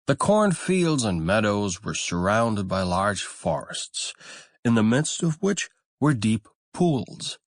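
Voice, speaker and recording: A man narrating a fairy tale as a scripted audiobook, in a measured, expressive storytelling manner. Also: fairly low pitch, dry recording, studio quality.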